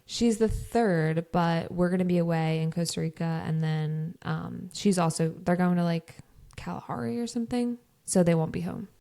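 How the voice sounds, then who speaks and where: A woman's voice: lowish voice, moderate pace, creaky, mellow. A young adult woman co-hosting a podcast, talking in a rambling, chatty way with many "like" fillers. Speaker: podcast co-host. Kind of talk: casual chat.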